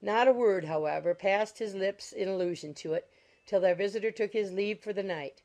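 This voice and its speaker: A woman's voice: medium pitch, moderate pace, a little mellow. A woman reading scripted narration of a classic novel as an audiobook narrator. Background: music.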